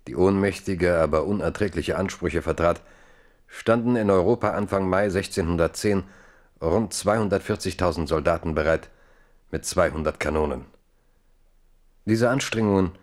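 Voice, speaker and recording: Low-voiced solemn male narrator. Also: a little mellow. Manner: formal, solemn, chronicle-like. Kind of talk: scripted literary narration in a radio play.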